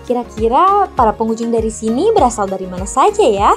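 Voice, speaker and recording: A woman reporter reading a scripted campus news report with lively emphasis. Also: fairly high pitch, brisk, soft background music.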